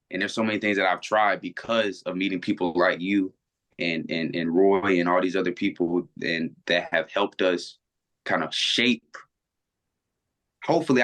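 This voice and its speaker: A man's voice: low voice, fairly bright tone. A man in his twenties, a guest on a video call, talking earnestly and reflectively with many restarts and fillers. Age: 20s. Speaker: interview guest. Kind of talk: reflective casual conversation.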